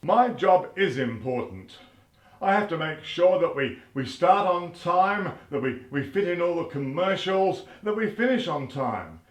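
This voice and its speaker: Man playing a slow, fussy radio stage manager. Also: a little high, big pitch swings. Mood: fussy, officious. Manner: officious, nagging. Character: officious, fussy, self-important male radio stage manager. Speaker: radio producer. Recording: roomy echo.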